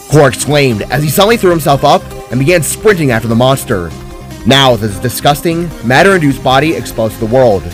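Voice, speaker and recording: A man reading scripted fantasy narration in a dramatic storytelling style. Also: mid-range voice. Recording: music behind the voice. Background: music.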